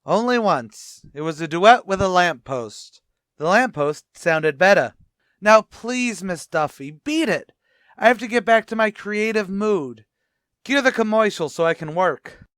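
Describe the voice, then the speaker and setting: A blustery, self-important tavern manager, voiced by a man, in old-time radio comedy style. His wisecracks leap all over the pitch range. The recording is close and dry. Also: lively intonation, measured pace, a bit bright, no echo. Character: boastful wisecracking male tavern manager, adult.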